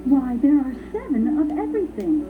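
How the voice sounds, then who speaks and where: A woman playing a sweet, gentle young princess full of wonder in a children's read-along storybook. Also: sits high, very animated, normal speed. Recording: muffled phone-like audio under loud music.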